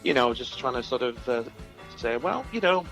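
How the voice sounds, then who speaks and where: A man's voice: mid-range voice, big pitch swings, normal speed, creaky, fairly bright tone, soft background music. A man chatting casually as a musician guest in an interview, talking in a hesitant, rambling, understated way. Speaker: musician guest discussing his own album.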